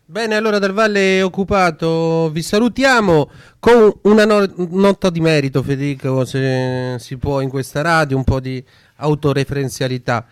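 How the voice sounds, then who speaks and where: Male co-host, high-pitched, casual radio sign-off style, dry sound. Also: moderate pace, clear, resonant tone, no room sound.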